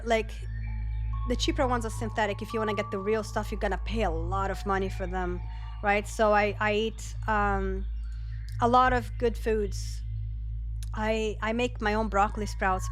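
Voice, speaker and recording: A woman with a Brazilian Portuguese accent, a native Portuguese speaker, hosting a casual livestream, chatty and rambling, reading chat aloud and laughing often. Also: medium pitch. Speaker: livestream host.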